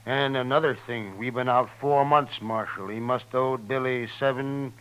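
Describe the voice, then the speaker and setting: Male actor as rustic American buffalo hunter's hand, mid-pitched voice, plain-spoken, resentful, vintage radio drama. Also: steady tone, normal speed, a bit bright, smooth, natural voice, rough recording. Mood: bitter, complaining. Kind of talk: 1950s radio drama acting.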